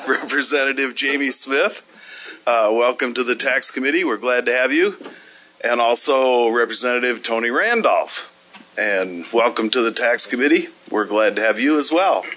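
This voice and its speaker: A man chairing a legislative committee hearing in a hearing room, easygoing and folksy, speaking into a desk microphone with plenty of filler pauses. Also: mid-range voice, pushed and bright, a little hiss or hum.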